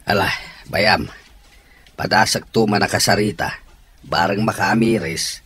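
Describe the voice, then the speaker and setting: A man's voice: fairly low pitch, measured pace, a bit bright, slightly rough, exaggerated voice. A man playing a concerned relative giving advice in a radio drama. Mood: concerned, advising.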